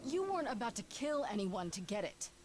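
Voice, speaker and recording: A woman's voice: mid-range voice, sing-song, fairly quick, darkish tone, lightly acted. Woman acting young muse in a game, earnest n hurt, mid voice, bit of background noise. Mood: defiant, grieving. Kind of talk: dramatic video game voice acting.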